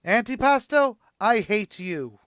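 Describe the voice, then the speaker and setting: A man's voice: high-pitched, expressive, takes it slowly, a bit bright, full character voice. An actor playing a brash male bar manager in an old-time radio comedy, declaiming in hammy mock-Shakespearean style. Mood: mock-dramatic.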